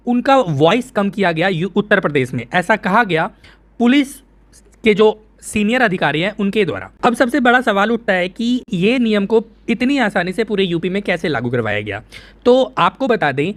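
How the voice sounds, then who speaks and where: A man's voice: high voice, lively intonation, moderate pace. An Indian man presenting an informal news explainer, conversational, repeating phrases for emphasis and answering his own questions.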